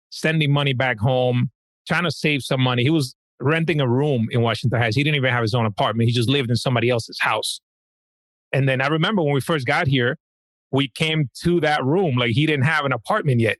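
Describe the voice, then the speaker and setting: A man from the Dominican Republic, a guest on a podcast, telling his story in an easygoing, warm way. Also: medium pitch, fairly quick, no echo. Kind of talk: casual interview chat.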